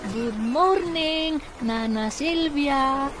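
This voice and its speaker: An actor playing a lively, polite and curious young boy in an Ilocano radio comedy-drama, with a childlike, chatty, eager way of talking. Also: sits high, extremely slow, loud music behind the voice. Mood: chatty, cheerful.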